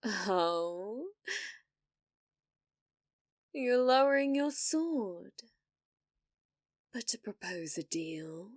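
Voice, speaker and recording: A woman's voice: mid-range voice, lively intonation, drawn-out words, long pauses, dry recording, studio quality. A British woman playing a cruel, domineering villainess in an ASMR fantasy roleplay, taunting theatrically and slipping into whispers. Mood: haughty, taunting. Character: cruel, domineering female villainess sorceress, gloating and possessive.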